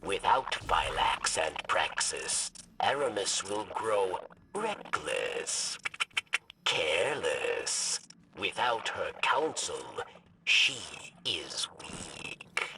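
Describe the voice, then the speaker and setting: A man playing a grave alien captain in a video game cutscene, with weighty, deliberate phrasing. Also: sits low, very animated, pushed and bright, recorded from a distance, a little hiss or hum, exaggerated voice. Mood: grave, calculating. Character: deep-voiced, grave alien captain, slow and measured.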